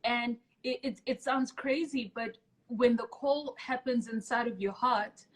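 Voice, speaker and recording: A woman's voice: on the high side, fairly even intonation, measured pace, rough recording. A woman hosting a casual interview in an effusive, emphatic storytelling way. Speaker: podcast host.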